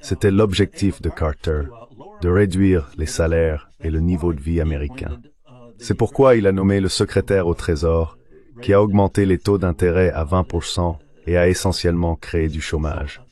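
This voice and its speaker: Very low-pitched man, formal analytical delivery. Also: fairly even intonation, normal speed, dark tone. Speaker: translated voice-over of an interview guest, an economist. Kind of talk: translated voice-over of economic analysis.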